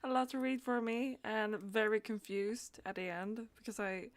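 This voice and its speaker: A woman's voice: fairly high pitch, moderate pace, dry recording. A woman streamer chatting casually in a hesitant, rambling, giggly way. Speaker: live streamer. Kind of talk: casual livestream chat.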